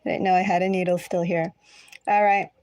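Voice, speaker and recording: A woman's voice: lowish voice, little pitch movement, a little fast, darkish tone, no echo. A woman with an American accent, chatting casually and in a rambling way as she hosts a tarot reading livestream. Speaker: tarot reader hosting a livestream. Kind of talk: casual livestream chat with viewers.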